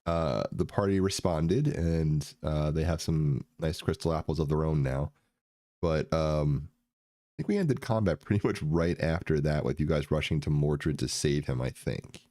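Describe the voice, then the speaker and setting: A man running a tabletop game as game master, narrating with hesitant pauses and fillers. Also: very deep voice, mellow, no echo, clean studio sound.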